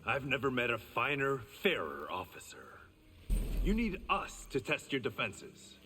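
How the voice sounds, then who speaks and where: A man's voice: medium pitch, wildly swooping pitch, a little slow, bright, forceful tone, big-room sound, slight background noise, heavily acted. An actor voicing an honourable reformed gangster swordsman in a fighting game, dry and self-assured. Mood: calm.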